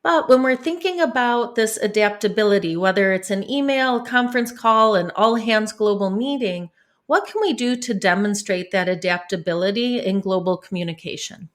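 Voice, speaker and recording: A woman's voice: mid-range voice, moderate pace. Enthusiastic woman podcast host. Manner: enthusiastic, affirming, frequent back-channel agreement. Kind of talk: conversational interview.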